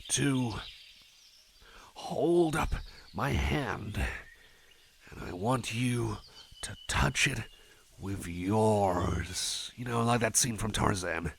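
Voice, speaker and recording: A man's voice: medium pitch, vocal fry, a bit bright, a little hiss or hum, exaggerated voice. A man playing a shy, friendly cyborg chum in an ASMR roleplay, talking in a hesitant, stammering, rambling way. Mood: playful, hypnotic. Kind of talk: personal attention ASMR roleplay.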